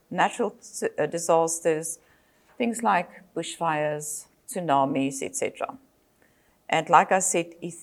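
Older south african lady, afrikaans first language, lecturing slow and careful, lots of ums, a bit low-pitched. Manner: measured, with frequent fillers and self-corrections. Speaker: psychologist and lecturer. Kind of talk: presentation lecture.

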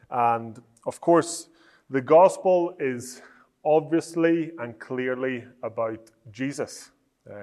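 A man from Northern Ireland with a Northern Irish accent, giving a measured, explanatory teaching talk on preaching. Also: medium pitch. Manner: measured, explanatory, with frequent restarts.

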